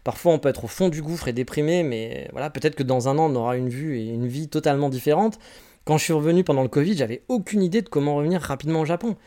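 A man's voice: a little high, fast talker, dark tone. A man in his forties from France, hosting a casual solo podcast. He answers listener questions with candid, rambling advice and often restarts his sentences. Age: 40s. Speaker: podcast host.

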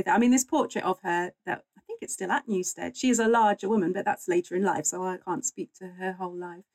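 A woman's voice: mid-range voice, a little mellow, dry recording, low-quality recording. A woman speaking as a guest historian in a conversational interview, explaining things in a chatty, informal way.

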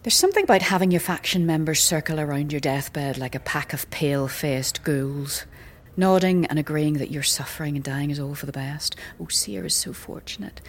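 An actress playing a very old, weary and sardonic priestess in a fantasy audio drama, blunt, with archaic slang and wry chuckles. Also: female voice, low voice, breathy edge, full character voice. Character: very old striking woman, sardonic, weary, doubting death-cult priestess.

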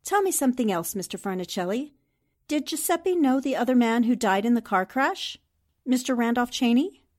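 A woman plays a clever female newspaper reporter from an old-time radio mystery. Her voice sits fairly high. She speaks with poise and deliberate confidence. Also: expressive, a little mellow. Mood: sympathetic, probing. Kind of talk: 1940s-style radio drama acting.

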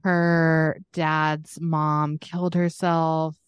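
A woman's voice: low-pitched, level tone, drawn-out words, smooth, no echo, muffled recording. A woman co-hosting a podcast in casual, conversational pop-culture chat, with a giggly way of talking. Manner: giggly, laughs often while talking; conversational, frequent "like" and "you know". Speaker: podcast co-host.

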